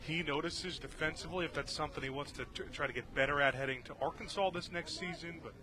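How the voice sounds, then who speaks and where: A man's voice: on the high side, quick pace. A man giving conversational, explanatory color commentary on a live baseball broadcast from an outdoor ballpark. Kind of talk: live sports analysis, conversational. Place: outdoor ballpark, beside the field. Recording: outdoor ballpark crowd noise behind. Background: crowd noise.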